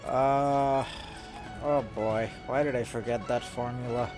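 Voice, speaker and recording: A man giving casual gameplay commentary, muttering and thinking aloud in a halting way. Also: medium pitch, fairly even intonation. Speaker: gamer. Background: game music, keyboard typing.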